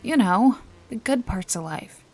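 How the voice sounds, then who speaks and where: A woman playing a wry, bossy detective-bodyguard in an intimate noir ASMR roleplay, with a teasing, casually threatening manner and a breathy voice that often drops toward a whisper. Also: a little high, animated, a little slow, dark tone. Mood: wry, amused.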